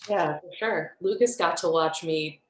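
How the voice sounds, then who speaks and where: A woman's voice: on the low side, unhurried. A woman speaking casually on a panel as a director, informal and rambling, often breaking off her own sentences. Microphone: video call. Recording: roomy echo on a video call.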